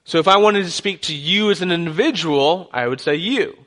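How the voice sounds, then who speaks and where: Bright-voiced young Texan preacher. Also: male voice, on the high side, fairly quick. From Texas. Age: young adult. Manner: joking, conversational preaching. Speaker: guest pastor. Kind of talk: sermon.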